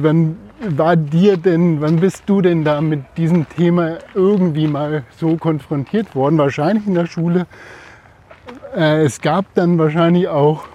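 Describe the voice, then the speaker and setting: A man co-hosting a podcast in casual conversation, who talks haltingly and searches for his words. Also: on the high side, warm tone, dull audio. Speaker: podcast co-host.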